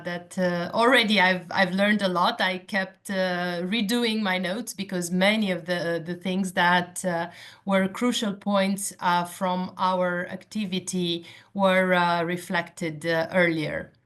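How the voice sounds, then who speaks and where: A woman from Romania with a Romanian accent, speaking as a panelist in an online talk in a measured, hesitant manner. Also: fairly low pitch, level tone, fairly bright tone. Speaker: panelist, cluster representative.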